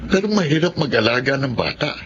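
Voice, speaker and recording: A man's voice: mid-range voice, big pitch swings, fast. Radio drama grandfather: older Filipino man, reluctant, complaining, noisy lo-fi sound. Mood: reluctant, grumbling. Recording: muffled lo-fi audio with noise.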